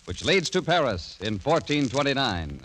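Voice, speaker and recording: A man's voice: mid-range voice, big pitch swings, normal speed, a bit bright, slight background noise, low-quality recording. A man working as a radio announcer, reading scripted narration in a formal, theatrical 1940s broadcast style. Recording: vintage radio broadcast recording.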